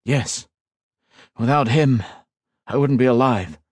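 A man's voice: medium pitch, slow, no echo, studio quality, pitched above the performer's own voice. A man voicing a modest young hero. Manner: earnest, modest. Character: modest young male hero, earnest and steady.

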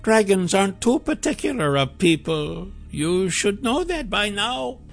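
A man acts out a weary sorcerer from a fantasy audiobook. The voice sits fairly high and is heavily creaky. He speaks slowly and solemnly, weighing each phrase with gentle earnestness. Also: sing-song, soft background music, full character voice. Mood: dry, measured. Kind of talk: fantasy audiobook character dialogue.

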